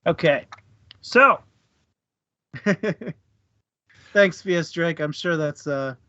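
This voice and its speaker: A man co-hosting a book club livestream, chatting casually and jokily with his audience in an informal, halting way. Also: a little high, pushed and bright, dry recording, highs cut off. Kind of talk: casual chat to a live audience.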